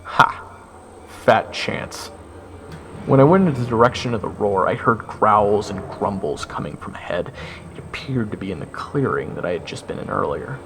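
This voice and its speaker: A man narrating a first-person fantasy story in a theatrical way, with dramatic pauses. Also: on the low side, lively intonation, noisy background. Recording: loud music under the narration.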